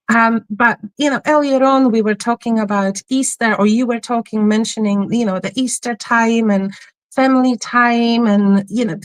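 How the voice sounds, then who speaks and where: A woman's voice: on the high side, no room sound, rough recording. A woman with a Polish accent tinged with Scottish, a spiritual healer guest speaking hesitantly with many ums. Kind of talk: interview guest.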